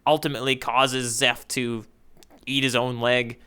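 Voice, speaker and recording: A man's voice: mid-range voice, flat delivery, measured pace, sharp tone, clean tone. A man co-hosting a podcast in casual conversation, peppering his talk with "you know". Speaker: podcast co-host. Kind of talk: casual chat recapping a manga arc.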